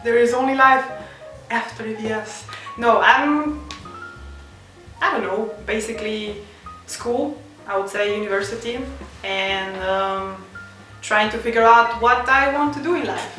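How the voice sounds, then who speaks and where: A young woman from Slovenia answers in a dry, joking way, her slightly high voice sounding distant and very roomy. Soft music lies beneath. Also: a little slow, long pauses. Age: young adult. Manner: deadpan, self-mocking humor. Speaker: volunteer.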